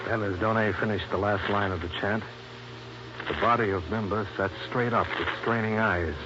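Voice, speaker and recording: A man's voice: on the low side, steady tone, normal speed, noisy background, natural voice. An actor playing a tough, dry-witted investigator in a 1950s radio drama, narrating his case in terse, wry, hard-boiled style. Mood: grim narration. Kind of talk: 1950s radio drama acting and first-person detective narration. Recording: muffled old radio recording, loud music.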